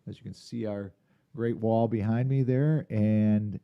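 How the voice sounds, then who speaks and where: A man's voice: lowish voice, steady tone, measured pace, smooth, narrow, tinny audio. A man hosting a live podcast interview from a podcast room in a restaurant, chatty and rambling with restarts. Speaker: podcast host.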